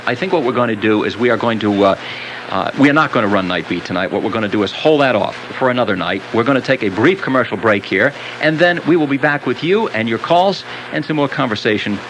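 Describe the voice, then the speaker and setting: A man's voice: mid-range voice, animated, fast. An American man hosting a live call-in talk show on the radio. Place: radio studio. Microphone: studio broadcast microphone. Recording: noisy old cassette of radio broadcast.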